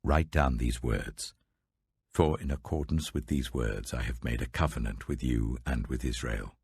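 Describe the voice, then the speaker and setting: A man reading a scripture audiobook, voicing a stern male God who speaks in a solemn, commanding, deliberate manner. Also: very deep voice, darkish tone, husky, dry recording, clean studio sound, voice pushed down, full character voice.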